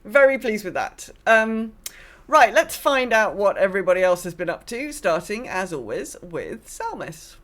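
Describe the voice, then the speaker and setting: A woman's voice: mid-range voice, sing-song, normal speed, fairly bright tone. A woman hosting a podcast in casual, chatty conversation, with a giggly, conversational manner. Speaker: podcast host and game master.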